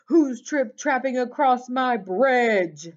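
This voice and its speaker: A woman's voice: medium pitch, animated, slow pace, lo-fi sound. A woman voicing a hideous, hungry old bridge troll in a children's storybook, roaring and menacing.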